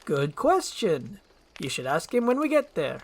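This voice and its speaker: Casting: woman to play male ogre, reluctant rescuer, exasperated, dry sarcasm, animated delivery for a storybook comedy. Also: on the low side, a little slow, slight background noise. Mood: annoyed, blunt.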